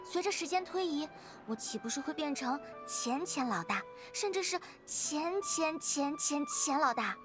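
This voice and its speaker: High-voiced, curious young game heroine. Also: female voice, expressive, normal speed, few pauses. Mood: flustered. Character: bright, bookish, adventurous young woman, earnest and curious. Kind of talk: anime-style game voice acting. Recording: clear game music behind. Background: game music.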